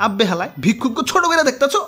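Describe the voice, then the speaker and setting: Woman voicing a cocky, very high-pitched, rapid-fire Bangladeshi beggar. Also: sing-song, a bit bright. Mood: proud, ranting. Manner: ranting, streetwise slang. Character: cocky, proud young beggar, brash and argumentative. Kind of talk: comic cartoon acting.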